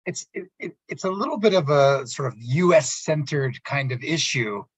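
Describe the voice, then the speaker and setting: A man hosting a radio interview in a conversational way, haltingly repeating and restarting his words as he sums up. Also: fairly high pitch, lively intonation, slightly rough. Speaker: radio talk show host. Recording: muffled, roomy, echoey audio.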